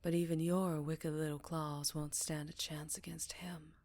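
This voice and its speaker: Casting: actress as melancholy, tender vampire woman for ASMR roleplay; low register, Southern US accent, hushed and unhurried. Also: level tone. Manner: slow, hushed, affectionate, with pauses and sighs. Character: gentle, melancholy English-born female vampire, tender and wary. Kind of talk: intimate ASMR roleplay, soft and partly whispered.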